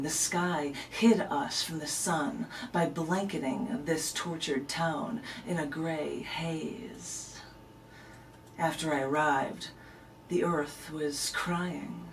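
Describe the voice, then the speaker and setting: A woman poet on a radio show, reading her poems and chatting casually about them as a guest. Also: sits low. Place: radio studio, recorded on a camera. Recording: noisy, distant camera recording in radio studio.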